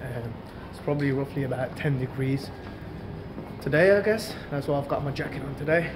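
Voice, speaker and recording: A man's voice: medium pitch, a little fast, heavy background noise. A young man in his twenties from Hong Kong, talking casually to a handheld camera at arm's length and repeating words as he greets his viewers. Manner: chatty, repeats words, addresses viewers as guys. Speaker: vlogger. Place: small room indoors, then outdoor walkway.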